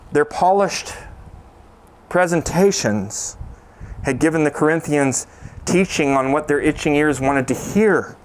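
Earnest pastor preaching from a church stage. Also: male voice, a little high. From Canada. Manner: earnest preaching, sings a chorus, gestures while speaking. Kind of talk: sermon. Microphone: handheld microphone.

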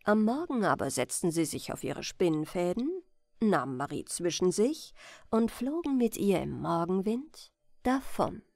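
A woman storyteller reading a bedtime fairy tale as scripted narration, with expressive, careful phrasing. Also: lowish voice, a little slow, dry recording, studio quality.

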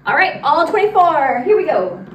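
Woman, young, high-pitched, instructional, counting dance steps, echoing large room. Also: sing-song, normal speed, fairly bright tone. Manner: directive, demonstrating while moving. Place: large indoor dance studio with wooden floor. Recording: roomy, distant mic with noise.

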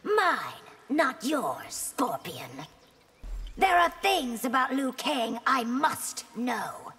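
A woman's voice: high-pitched, sing-song, very slow, fairly bright tone, husky, heavily acted. A woman playing a haughty, threatening young empress in a fighting game, imperious and taunting. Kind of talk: dramatic fighting game dialogue.

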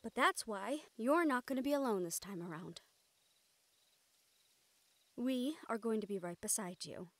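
Higher-voiced, excitable young mage played by a woman. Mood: gentle, resolute. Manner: animated, halting, swearing freely. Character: excitable, caring young woman mage testing magic runes. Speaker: voice actor in audio roleplay. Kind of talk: intimate scripted audio roleplay acting.

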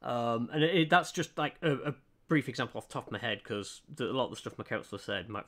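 A man from the United Kingdom chatting earnestly and hesitantly on a live stream while drawing, with many pauses and restarts. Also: medium pitch, a bit bright.

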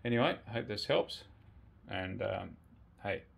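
A man's voice: fairly low pitch, animated, a little slow, creaky. An Australian man giving a casual, laid-back how-to walkthrough in a rambling, colloquial way. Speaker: presenter of a motorcycle gear video. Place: indoors in a home garage.

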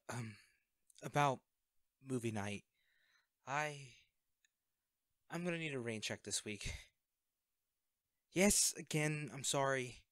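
A man's voice: medium pitch, fairly bright tone, no room sound, studio quality. A man acting in an audio roleplay as an awkward, self-effacing secret superhero, talking hesitantly and evasively to a best friend. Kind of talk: audio roleplay drama, one-sided conversation.

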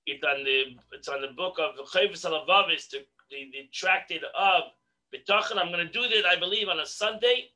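A middle-aged man leads an online religious class from a home study, speaking into a webcam. His voice is bright and fairly high, and he repeats words as he presses a point. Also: brisk, rough recording. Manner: animated, repeats words when stressing a point. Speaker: rabbi teaching a daily class. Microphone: video call webcam.

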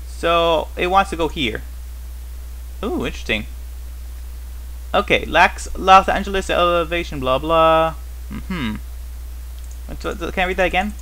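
A man's voice: a little high, sharp tone, rough recording. A man giving casual, unscripted gameplay commentary as host, rambling and thinking aloud with wry, puzzled questions to himself. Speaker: host of a gaming series.

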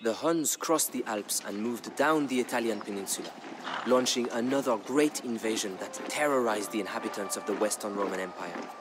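Solemn low-voiced man narrating over music. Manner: solemn, measured, storytelling delivery. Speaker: storyteller narrator of a historical game campaign. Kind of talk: scripted dramatic narration. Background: background music.

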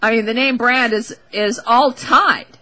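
A woman's voice: a little high, measured pace, pushed and bright. A woman hosting a talk show, giving a casual, opinionated monologue in a wry, emphatic, conversational way into a close studio microphone.

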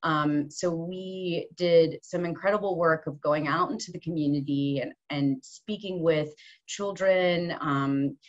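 A woman's voice: sits low, fairly even intonation, a little slow. A woman human rights lawyer, giving an earnest conference talk full of ums and restarts over a video call. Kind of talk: online conference presentation. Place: office room at home base, rain on the roof. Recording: slightly dull video call audio.

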